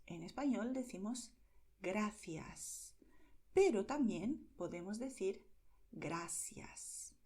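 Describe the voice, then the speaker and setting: A woman from Spain with a Madrid accent teaching Spanish pronunciation, demonstrating words carefully, sometimes softly, and checking in with "¿vale?". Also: mid-range voice, expressive, slow. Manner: demonstrates words, often softly whispered, asks "¿vale?" constantly. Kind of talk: slow, careful pronunciation lesson.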